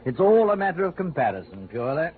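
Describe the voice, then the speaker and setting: Posh English rogue gentleman, effusive, affected, very animated pitch, muffled vintage radio drama. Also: fast, faint music behind. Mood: breezy. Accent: upper-class English. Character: dapper, gushing, evasive British gentleman swindler. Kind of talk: 1950s radio drama acting. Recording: muffled old radio recording.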